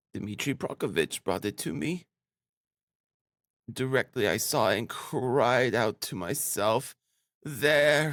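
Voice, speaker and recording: Guy doing an old mum in an audiobook, high voice, gushy, fussy, weepy-happy, dry sound. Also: no echo. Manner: gushing, effusive, rambling. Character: doting, flustered elderly mother, tearful with joy. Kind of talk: audiobook character acting.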